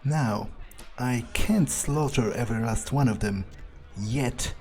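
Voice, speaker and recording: A man playing a video game in character, thinking aloud in a musing, deliberate way with pauses. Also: fairly low pitch, a little mellow. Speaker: let's player.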